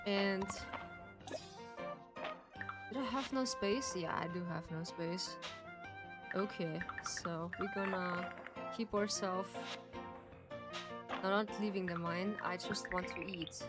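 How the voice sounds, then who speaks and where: Slow-talking woman streamer from Turkey. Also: on the low side. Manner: chatty, halting, thinks aloud with many restarts. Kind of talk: casual gameplay commentary. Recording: loud game music behind.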